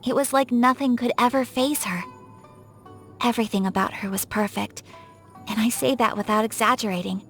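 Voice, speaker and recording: Young samurai clan lady from a game, highish voice, super polite n proper, reserved, music behind. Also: normal speed, fairly bright tone. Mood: fond, admiring. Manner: formal, polite, poised. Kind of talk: scripted game character voice lines.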